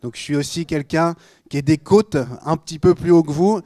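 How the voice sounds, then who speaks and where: A middle-aged male pastor with a Norman French accent speaks to his congregation. His voice sits a little high and sounds dry, with little room around it. He preaches conversationally, joking and addressing his listeners directly. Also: fairly quick, dark tone, dry recording. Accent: northern French, Normandy. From Normandy, France. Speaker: guest pastor. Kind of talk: informal sermon to a congregation.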